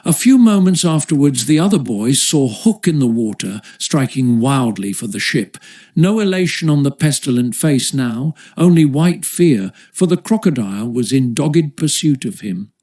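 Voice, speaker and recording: A man's voice: mid-range voice, big pitch swings, moderate pace, darkish tone. A man reading a classic children's adventure story aloud as an audiobook narrator, with a literary storytelling delivery.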